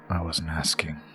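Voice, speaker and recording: A brooding, wounded rebel in an audio drama. The voice is low and unhurried, with faint music behind it. The delivery is intense and persuasive, sinking into menacing near-whispers. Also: male voice, pushed and bright, exaggerated voice. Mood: cold menace. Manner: intense, breathy, dropping into whispers.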